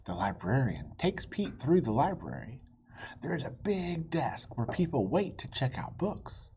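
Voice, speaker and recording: A woman's voice: extremely low pitch, darkish tone. A woman reading a children's picture book aloud, expressively, with friendly asides straight to young listeners. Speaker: read-aloud storybook reader and host. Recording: dull lo-fi sound with faint noise.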